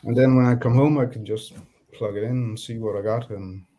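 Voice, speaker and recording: A man with a Danish accent, speaking English as a second language, talking about his beat production as a guest in a casual interview, pausing often to find his words. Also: medium pitch, steady tone, mellow, slight background noise. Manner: halting, searching for words.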